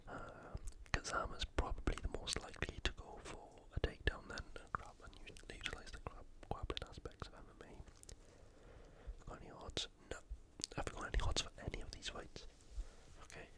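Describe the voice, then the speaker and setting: An ASMR host speaking entirely in whispers, giving informal, unscripted sports preview commentary and thinking aloud. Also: normal speed.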